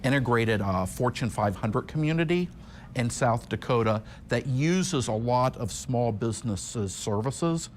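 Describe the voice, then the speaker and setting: A man speaking haltingly in an unscripted news interview soundbite. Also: mid-range voice, lively intonation, a little hiss or hum.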